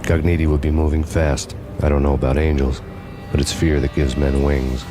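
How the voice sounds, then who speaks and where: An actor playing a world-weary, cynical American cop on the run, narrating a noir game story in a deadpan, brooding manner. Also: male voice, rumbling low, normal speed. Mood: grim, brooding. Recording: music behind.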